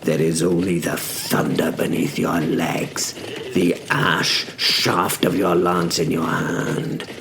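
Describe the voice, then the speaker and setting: Over clear background noise, a man plays a young medieval knight narrating his own tale in audio drama. The voice is very low and gravelly, solemn and measured, leaving deliberate pauses between phrases. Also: little pitch movement, heavily acted. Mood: grave, tense. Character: young male knight William, reflective, brave yet frightened. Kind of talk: scripted first-person dramatic narration.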